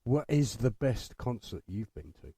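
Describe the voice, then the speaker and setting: A man's voice: medium pitch, sing-song, measured pace, gravelly, warm tone, husky, no echo, clean studio sound. A man asking interview questions casually as a radio co-host.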